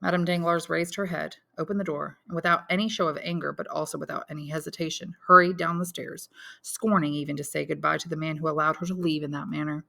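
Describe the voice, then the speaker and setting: A woman's voice: sits low, steady tone, fast, gravelly, sharp tone. A woman reading a literary story aloud as scripted audiobook narration. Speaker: audiobook narrator, English teacher.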